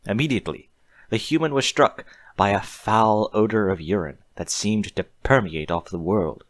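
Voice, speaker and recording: A man's voice: lowish voice, normal speed, no room sound. A man reading a story aloud in a scripted audiobook narration style.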